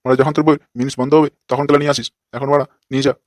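A man's voice: mid-range voice, little pitch movement, rapid-fire, a little mellow, no echo, clean studio sound, lightly acted. A man voicing a crude, lecherous fake holy man in a comic cartoon, with a brash, scolding manner.

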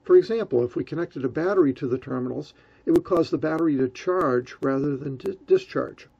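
A man's voice: a little high, fairly quick, vocal fry, mellow, slightly rough, dull audio. A man giving a technical explanation in the role of an explainer.

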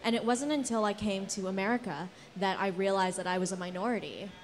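A woman's voice: medium pitch, fast. Earnest young woman telling stories on a panel. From Philippines, Singapore and Guam. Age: young adult. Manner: earnest, rambling. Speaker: panelist, theater actor. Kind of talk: casual personal storytelling on a panel. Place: indoor event space with brick wall. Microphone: handheld event microphone.

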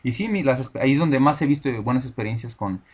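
A man's voice: mid-range voice, fast, breathy edge. A Mexican man being interviewed, speaking Spanish with a Mexican accent in a thoughtful, hesitant way, often restarting his words. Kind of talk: casual conversation.

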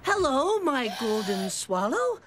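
A woman's voice: high voice, big pitch swings, slow pace, heavy background noise, heavily acted. A woman voicing a chubby, scheming little cartoon boy who talks in a grandiose, hammy way. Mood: flattering, smooth. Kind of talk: cartoon voice acting. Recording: loud cartoon music underneath.

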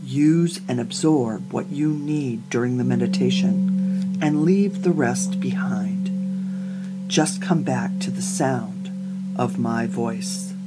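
Slow, calm woman guiding meditation over music. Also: very deep voice, low-quality recording. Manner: calm, soothing, deliberate with long pauses. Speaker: yoga nidra teacher and podcast host. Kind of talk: guided meditation.

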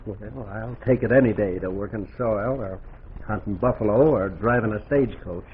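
An actor playing a smooth-talking, cocksure frontier gambler, coaxing and folksy, in 1940s radio drama style. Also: male voice, on the low side, moderate pace, natural voice. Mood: coaxing, boastful. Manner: coaxing, swaggering, folksy grammar. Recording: muffled, noisy 1940s radio recording.

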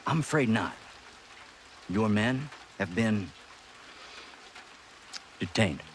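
An actor with a Southern American accent playing a smug, commanding military contractor in a video game, drawling and condescending. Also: male voice, on the low side, animated, very slow, slight background noise. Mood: cold, controlled. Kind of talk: cinematic video game acting.

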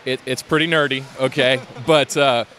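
A man's voice: medium pitch, steady tone, moderate pace, a bit bright, a little hiss or hum. Rambling man with a drawl. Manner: rambling, many fillers and restarts. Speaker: LEGO builder interviewed at a fan convention. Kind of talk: casual interview. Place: convention hall, indoors.

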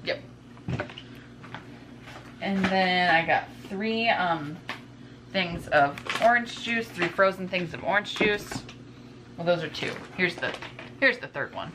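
A woman's voice: fairly low pitch, lively intonation, slow, long pauses. A woman in her twenties chatting casually to camera at home as a vlogger, rambling informally and drifting into asides. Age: 20s. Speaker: vlogger, stay-at-home mother. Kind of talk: casual chat to camera, showing groceries. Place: indoors in a home kitchen. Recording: clearly noisy home recording with room ambience.

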